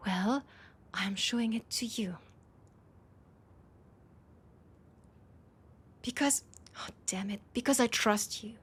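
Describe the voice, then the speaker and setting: Woman voicing a battle-scarred female vampire leader, French accent, breathy husky tone, halting and intimate, proud yet desperate, audio roleplay. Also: a little high, fairly bright tone. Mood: reluctant, exasperated. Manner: hesitant, halting, breathy asides dropping into whispers.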